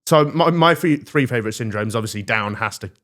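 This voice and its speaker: A young man hosting a comedy podcast, deadpan with frequent giggles. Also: medium pitch, a little fast. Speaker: host. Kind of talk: casual comedy podcast chat.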